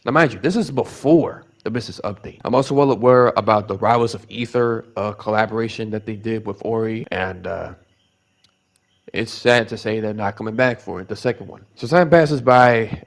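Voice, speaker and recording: A young man from the Bronx with a Bronx New York accent and Spanish as his first language, telling a rambling, animated story in a casual vlog, full of restarts and repeated words. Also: medium pitch. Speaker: vlogger.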